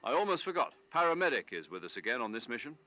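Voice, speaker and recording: An actor playing a middle-aged military commander in a video game, briefing an agent in an authoritative, precise and expressive way. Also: male voice, medium pitch, quick pace. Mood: brisk, businesslike. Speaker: mission commander.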